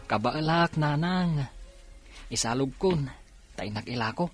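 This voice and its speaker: An actor with a Filipino accent playing a dutiful, hardworking teenage son in a radio drama, earnest and respectful. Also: male voice, a little high, animated, a little slow, soft background music. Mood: willing, caring. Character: dutiful, hardworking teenage son and older brother from poor village. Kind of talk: Ilocano radio drama acting.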